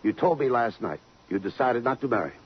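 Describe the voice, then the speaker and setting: An actor playing a tough, terse police lieutenant who is quietly smitten, blunt and clipped, in a 1950s radio drama. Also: male voice, medium pitch, expressive, quick pace, husky. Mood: probing, blunt. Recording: dull lo-fi 1950s radio recording.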